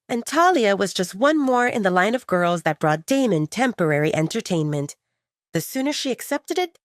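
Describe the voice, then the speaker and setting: A woman's voice: mid-range voice, dry recording, studio quality. A woman reading scripted romance fiction as a dramatic audiobook narrator.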